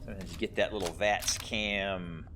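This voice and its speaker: Low-voiced man giving gameplay commentary. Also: steady tone, normal speed. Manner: explains his tactics as he plays. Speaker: let's play host. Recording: clear game noise behind voice. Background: video game sound effects.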